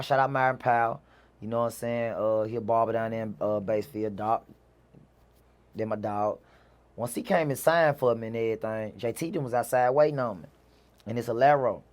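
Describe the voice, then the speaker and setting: A man's voice: medium pitch, a bit bright. A man with a Mississippi Southern accent telling stories casually as an interview guest, in a slangy, repetitive way peppered with "you know". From Mississippi, USA. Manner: slangy, repeats himself, frequent "you know". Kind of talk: casual conversational storytelling.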